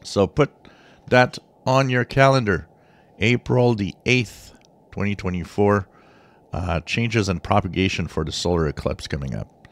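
A man hosting a hobby channel, giving informal explanatory commentary with halting, drawn-out phrasing. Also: on the low side. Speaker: radio hobby channel host. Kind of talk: informal explanatory commentary over a screen share.

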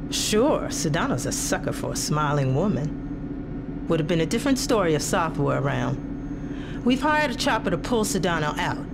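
A woman's voice: low-pitched, big pitch swings, moderate pace, gravelly, heavily acted. A woman playing a confident, wry undercover intelligence agent in a video game, speaking with composure. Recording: loud music and vehicle noise behind.

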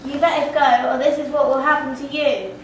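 A woman's voice: high-pitched, steady tone, a little fast, natural voice. A schoolgirl playing a cautioning, haughty medieval lord in an amateur puppet play. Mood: warning. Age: schoolgirl, about 12. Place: school classroom. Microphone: distant camera. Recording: echoey noisy classroom from far off.

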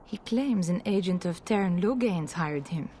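A woman's voice: fairly low pitch, expressive, a little slow, dark tone, a little hiss or hum. An actress playing a distraught, proud noblewoman in a video game, formal and pleading. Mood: troubled, guarded. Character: distraught proud noblewoman, desperate mother and wife. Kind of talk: dramatic video game dialogue.

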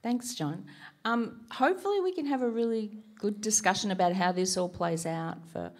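A woman's voice: mid-range voice, animated. A middle-aged woman with an Australian accent, moderating a panel on stage and reading a guest's introduction from notes. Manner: measured, reads from notes. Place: stage before an audience. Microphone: handheld mic.

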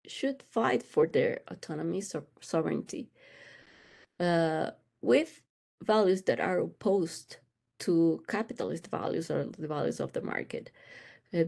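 A woman lecturer from Ecuador speaks with an Ecuadorian Spanish accent. Her voice sits fairly low and is distinctly mellow and relaxed. She presents academic material over a video call, pausing often as she picks her words. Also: gravelly. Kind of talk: slide lecture. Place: room with bookshelves, indoors.

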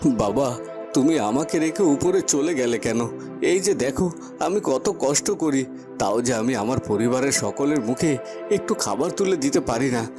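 A man's voice: medium pitch, animated, measured pace, lightly acted. A man playing a poor, hardworking young farmer in a cartoon fairy tale, earnest and plaintive, over music. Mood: sorrowful. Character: poor hardworking young village farmer, dutiful, sorrowful son.

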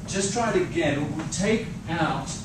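A middle-aged man coaching a trainee teacher, brisk, directive and encouraging. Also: on the high side, lively intonation, background noise. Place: large classroom. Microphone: far camera. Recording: echoey room from far off.

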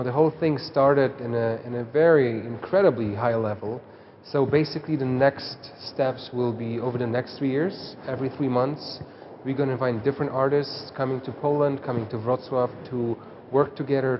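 A man's voice: mid-range voice, fairly even intonation, normal speed, dull audio, noisy background. A man in his thirties, a festival's artistic director, speaking in an interview with many hesitations, outdoors on a street.

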